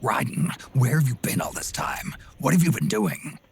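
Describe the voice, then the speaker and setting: An actor playing a gruff, weary older soldier in a video game, gravel-voiced, terse and suspicious. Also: male voice, mid-range voice, sing-song, normal speed, a little hiss or hum. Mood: urgent, surprised. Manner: terse, questioning. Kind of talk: cinematic video game acting.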